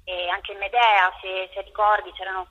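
A woman interviewed over the phone as a theatre director, explaining her work in a thoughtful, halting way with drawn-out pauses. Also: medium pitch, level tone, a bit bright, slight background noise. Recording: muffled, band-limited phone line.

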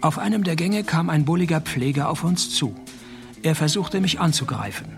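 A man's voice: on the high side, normal speed, soft background music. An actor playing a wry, laconic male private detective who narrates his case and trades dry lines in a radio play. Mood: dry narration. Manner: laconic, sardonic, deadpan.

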